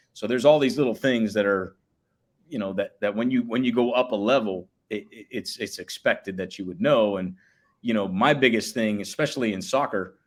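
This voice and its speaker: A man in his thirties, a public address announcer with a booming voice, chatting casually in an interview. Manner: booming voice, slips into announcer calls.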